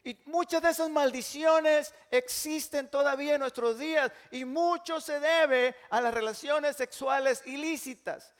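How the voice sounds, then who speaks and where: High-voiced pastor in a reverberant church. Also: male voice, a little slow, hardly any pauses. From Mexico. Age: 60s. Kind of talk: sermon. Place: large church hall. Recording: long church hall reverb.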